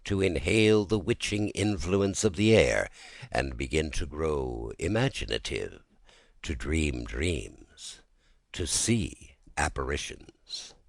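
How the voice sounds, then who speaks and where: A man narrating a classic literary story in a measured, dramatic audiobook style. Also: rumbling low, sing-song, creaky, no room sound.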